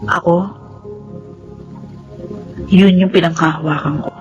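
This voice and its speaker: A woman's voice: lowish voice, steady tone, slow, music in the background. A woman acting a forgiving, devoted wife in a radio drama, in lo-fi audio. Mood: tender, contrite. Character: forgiving, devoted wife admitting her own faults.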